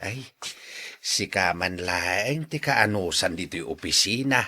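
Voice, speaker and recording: A man's voice: deep voice, lively intonation, unhurried, pushed and bright, full character voice. A man acting a humble, grateful office janitor and struggling father in an Ilocano audio drama, deferential and plaintive. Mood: weary, plaintive.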